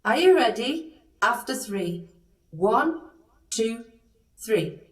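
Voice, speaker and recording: Slightly off the mic, a woman plays a kindly, scatty fairy godmother of pantomime. Her voice is highish and swoops about, bossy and theatrical. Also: sing-song, takes it slowly, far from the mic. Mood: encouraging, bossy. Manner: exaggerated, encouraging, theatrical. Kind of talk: audio pantomime acting.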